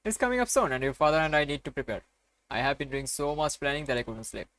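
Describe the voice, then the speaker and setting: A man's voice: on the high side, animated, quick pace, fairly bright tone, no room sound. A man voicing an anxious mother in a visual novel who soothes her daughter and deflects questions. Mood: evasive.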